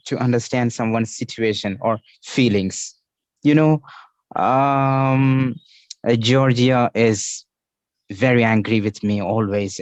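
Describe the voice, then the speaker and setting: A man's voice: mid-range voice, a little mellow, no room sound. A man with an Indian accent hosting an online English class over a video call, patiently explaining idioms and repeating the key phrases.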